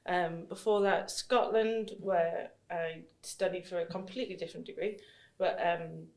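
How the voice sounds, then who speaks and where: A woman's voice: lowish voice, fairly even intonation, moderate pace, darkish tone. A woman from northeast England, chatting casually as a podcast guest. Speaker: podcast guest, CrossFit coach. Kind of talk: casual chat. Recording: echoey room.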